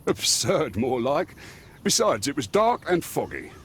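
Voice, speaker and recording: An actor playing a confident, skeptical Victorian police inspector in a video game, British, explaining things in an assured way. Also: male voice, mid-range voice, sing-song, a little slow, a little hiss or hum. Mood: amused, skeptical. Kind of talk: scripted video game acting.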